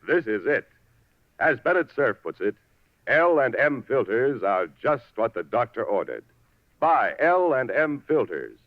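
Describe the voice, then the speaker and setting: A man delivering an emphatic 1950s radio advertising pitch as a commercial announcer. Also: no room sound. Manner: emphatic salesmanship. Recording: dull, dry 1950s radio recording.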